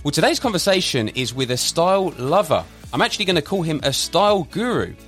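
A man hosting a podcast interview, upbeat and lively as he asks questions. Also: on the high side, brisk, soft background music. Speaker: podcast host.

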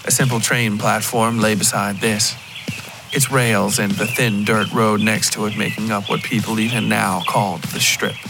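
A man reading scripted first-person dark fiction as the narrator of a story podcast. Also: fairly low pitch, fairly even intonation, moderate pace, noisy background.

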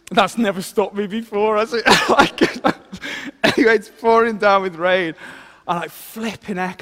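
A man, once a vicar, delivers a sermon with a lively, self-deprecating story. His voice sits high for a man. A long reverb trails every phrase, as in a large hall. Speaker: former vicar giving a talk. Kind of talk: sermon with a personal anecdote.

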